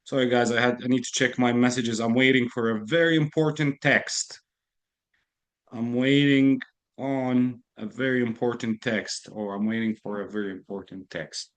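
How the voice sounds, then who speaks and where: A man teaches an English class over a video call with his camera switched off. He talks patiently into a computer mic, saying key phrases twice and explaining idioms as he goes. His voice sits at a middle pitch. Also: fairly even intonation, moderate pace, fairly bright tone. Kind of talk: online lesson, explaining slowly.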